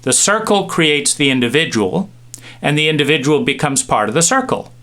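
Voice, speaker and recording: A middle-aged man giving a reflective talk, musing with pauses and restarts as he tells stories. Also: fairly high pitch, lively intonation, pushed and bright. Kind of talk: reflective monologue with anecdotes.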